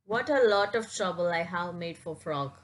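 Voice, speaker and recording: A woman's voice: fairly low pitch, lively intonation, moderate pace, noisy background. A woman reading a storybook aloud for children and helping along a learner reader. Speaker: read-aloud partner prompting a learner reader.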